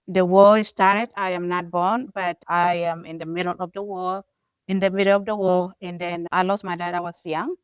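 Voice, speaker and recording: South Sudanese woman, smooth and emphatic. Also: on the low side, no echo. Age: middle-aged. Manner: earnest, emphatic, rising to forceful stresses. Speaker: co-host. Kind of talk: personal storytelling.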